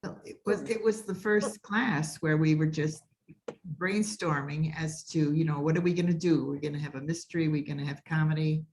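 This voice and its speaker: A woman's voice: low-pitched, steady tone, normal speed, darkish tone, a little hiss or hum, rough recording. A woman casually recalling a workshop as a participant on a video call. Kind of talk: casual recollection.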